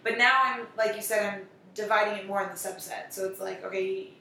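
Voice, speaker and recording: A woman's voice: mid-range voice, steady tone, brisk, vocal fry, bright, forceful tone, a little hiss or hum. A woman in casual chat, talking freely, laughing and swearing often. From United States. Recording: echoey room from far off.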